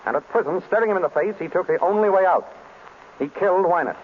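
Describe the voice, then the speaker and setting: An actor playing a suave, wry detective in an old-time radio drama, breezy, urbane and in command. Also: male voice, high-pitched, fast talker, lightly acted. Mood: measured, accusing. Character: suave, wry male detective hosting a dinner of suspects. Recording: noisy, tinny vintage radio broadcast.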